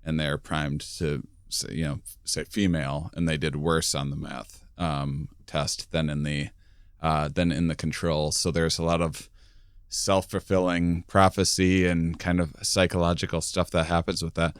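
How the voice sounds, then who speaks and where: A man hosts a podcast interview in a deep, smooth, resonant voice. The sound is dry and close, and he rambles with plenty of fillers. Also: flat delivery, a little slow, no room sound. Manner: rambling, restarts with many fillers. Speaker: podcast host. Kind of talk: casual interview conversation.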